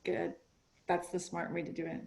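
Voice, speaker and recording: A woman's voice: fairly low pitch, completely flat delivery, moderate pace, vocal fry, darkish tone. A woman hosting a webinar, chatting casually beforehand and rambling with fillers and restarts. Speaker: webinar host.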